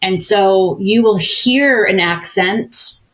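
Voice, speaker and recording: A woman's voice: medium pitch, takes it slowly, a bit bright, rough recording. A woman consultant as a podcast guest, explaining things in a friendly, coach-like way. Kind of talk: casual interview chat.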